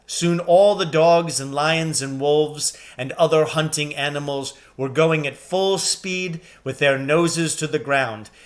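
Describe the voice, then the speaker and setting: A man reading a children's story aloud with expressive, deliberate and dramatic phrasing. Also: a little high. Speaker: reader, teacher reading aloud.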